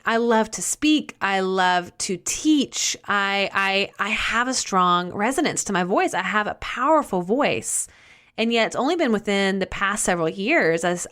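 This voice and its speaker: A woman's voice: mid-range voice, a bit bright. Earnest woman hosting a podcast. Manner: earnest, conversational, with many restarts and some slow, emphatic phrasing. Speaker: podcast host and life coach. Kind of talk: solo podcast talk.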